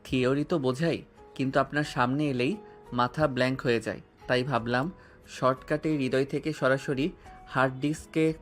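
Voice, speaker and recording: A man's voice: mid-range voice, fairly even intonation, moderate pace, faint music behind, close to an everyday voice. A man voicing a bold, playful young man student who keeps courting an older classmate. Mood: teasing. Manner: teasing, earnest, persistent. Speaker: voice actor. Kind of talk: audio drama acting.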